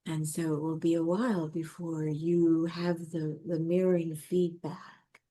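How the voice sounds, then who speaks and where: A woman hosting a podcast over a video call, soft-spoken and effusive in her praise. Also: low voice, level tone, unhurried. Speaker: podcast host. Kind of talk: casual interview chat.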